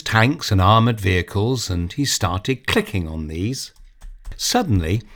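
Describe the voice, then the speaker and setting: A man reading a children's story aloud, narrating in an expressive, measured way. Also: lowish voice.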